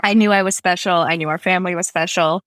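A woman's voice: fast talker, no room sound. Witty American woman guest, dry sound. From Russia. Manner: witty, laughs often, lively jumps in pitch. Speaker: guest writer. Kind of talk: casual interview chat. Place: San Francisco.

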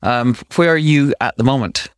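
A man hosting a podcast, who reads his intro smoothly and chats with small restarts. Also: mid-range voice, moderate pace, no echo, clean studio sound. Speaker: podcast host. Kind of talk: interview podcast with scripted intro. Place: home in Brooklyn, remote call.

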